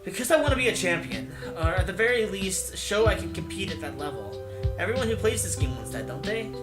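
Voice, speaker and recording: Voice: medium pitch, animated, fairly quick, over loud music, natural voice. A video maker voicing an eager, flustered young foreign gamer in a visual novel, stammering and awkward. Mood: earnest. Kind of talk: visual novel character read-aloud.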